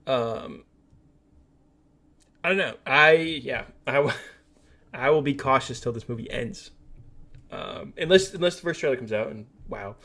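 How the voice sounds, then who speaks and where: Bright-voiced man, opinionated podcast co-host. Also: medium pitch, a little slow, long pauses. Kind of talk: casual movie and game podcast chat.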